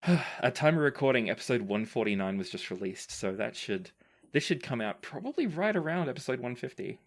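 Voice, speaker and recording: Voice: medium pitch, very animated, a little fast. A podcast host and game master chatting casually, earnest and appreciative in manner. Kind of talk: casual podcast chat and sign-off. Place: remote group recording over a call.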